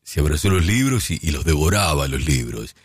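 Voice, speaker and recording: A man's voice: extremely low pitch, big pitch swings, brisk, slightly rough, no echo, clean studio sound. A man hosting a radio show, introducing an archival clip with frequent filler sounds. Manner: warm tribute, many filler sounds. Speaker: radio host. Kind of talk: radio presentation.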